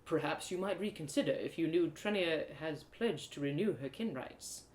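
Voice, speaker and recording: A woman's voice: low-pitched, normal speed, a little mellow, pitched below the performer's own voice, close to an everyday voice. A woman voicing a self-righteous male elf guard in a storybook, with formal, mocking speech. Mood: condescending. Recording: roomy echo.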